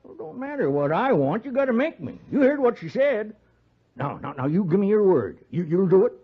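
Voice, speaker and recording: Boozy American frontier husband, high swooping voice, folksy stammering drawl, muffled old radio Western. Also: male voice, very animated, brisk, slightly rough, heavily acted. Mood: wheedling, insistent. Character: boozy, wheedling, rough frontier husband with many wives. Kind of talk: 1950s radio Western acting. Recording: muffled 1950s radio recording.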